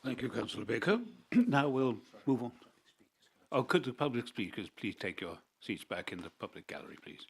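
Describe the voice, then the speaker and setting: Gravelly-voiced man chairing a council meeting. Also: mid-range voice, sing-song. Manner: brisk procedural. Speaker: committee chair. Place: council chamber.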